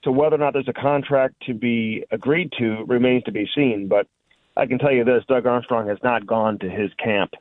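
A man talks through his sports analysis in a conversational, unscripted way, as a guest reporter would. The sound is dry and somewhat dull, like a lo-fi radio call. His pitch sits in the middle for a man. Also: fairly even intonation, moderate pace, dry recording. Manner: conversational, frequent "you know" and "listen".

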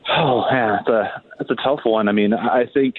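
A man's voice: medium pitch, normal speed, husky. A man speaking as a reporter guest on a sports show, talking through hockey analysis in a hesitant, word-repeating conversational way. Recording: thin phone line, roomy echo.

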